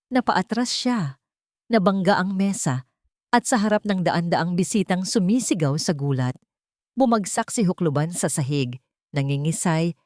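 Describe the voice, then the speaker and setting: A woman narrating a scripted story in a deliberate, suspenseful, dramatic way. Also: on the low side, darkish tone, no room sound, studio quality.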